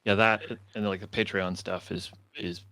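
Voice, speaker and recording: A man's voice: sits low, steady tone, moderate pace, sharp tone. A man hosting a talk in a casual, conversational interviewing style. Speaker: interviewer, host.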